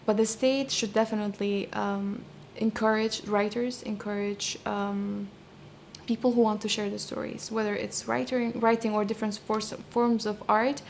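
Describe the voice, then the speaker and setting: A young Kurdish-accented woman speaks in a flat, level tone, weighing each thought with frequent ums and restarts. Her answers stay measured and reflective. A faint background hiss sits under her voice. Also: mid-range voice, vocal fry. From Iraq, Kurdish region. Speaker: poet and translator.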